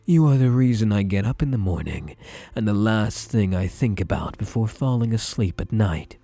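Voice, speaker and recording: A man acting as a softly controlling male therapist for an ASMR roleplay. His low voice swoops and dips through slow, deliberate reassurances, hushed and breathy, with an obsessive, doting tenderness. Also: animated, faint music behind. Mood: tender, doting. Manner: soothing, deliberate, hushed, drops into breathy murmurs.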